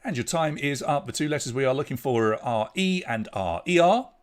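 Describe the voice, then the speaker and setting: A man's voice: mid-range voice, animated, moderate pace, smooth. An English man running an online pub quiz with a bouncy, sing-song delivery that swoops up and down. His voice sits in an ordinary middle register. He chats and jokes with the players between questions. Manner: chatty, jokey banter with players between questions. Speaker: quiz host.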